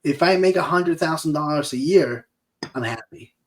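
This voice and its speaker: A man's voice: on the high side, normal speed. A man in his forties from Peru with a Peruvian accent, co-hosting a casual business podcast in an upbeat, motivational way. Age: 40s. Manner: upbeat, motivational, hyping up the listener. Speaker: podcast co-host.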